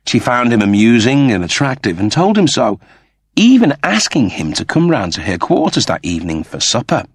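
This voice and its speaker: A man's voice: fairly low pitch, slightly rough, no room sound, studio quality. A man narrating scripted science fiction as a dramatic audiobook reader.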